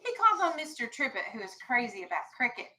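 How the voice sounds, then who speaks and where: Female teacher, higher-pitched, bright and clear-cut delivery. Animated children's story read-aloud with explanations and questions. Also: quick pace. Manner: animated, explains and checks understanding.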